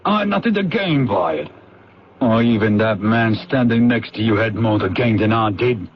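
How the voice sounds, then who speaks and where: Male actor as defensive, protesting suspect, vintage radio drama, fairly low voice, blustering delivery, dull broadcast sound. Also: lively intonation, normal speed, crowd noise, close to an everyday voice. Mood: defensive, rattled. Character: indignant, defensive male murder suspect under arrest.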